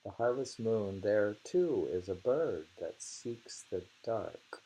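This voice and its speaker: A man reading poems aloud and commenting informally, musing and repeating lines deliberately. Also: fairly low pitch, animated, warm tone, breathy edge, rough recording. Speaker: reader of haiku poetry. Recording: noticeable hiss and background noise.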